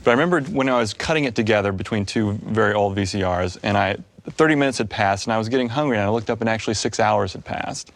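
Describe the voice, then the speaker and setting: A male cinematographer in an interview, telling an anecdote in a lively way. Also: on the low side, fast, vocal fry, few pauses, no echo.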